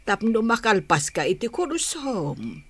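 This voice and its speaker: A woman's voice: mid-range voice, huge pitch swings, a little slow, slight background noise. A woman playing a patient, caring mother in an audio drama, with an Ilocano Filipino accent. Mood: advising. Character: patient, caring Filipino mother advising her grown son.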